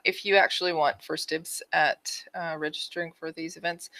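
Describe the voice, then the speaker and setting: A woman's voice: low-pitched, vocal fry, bright, forceful tone. A woman with a Canadian accent co-hosting a podcast, chatting casually and reading out announcements. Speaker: podcast co-host.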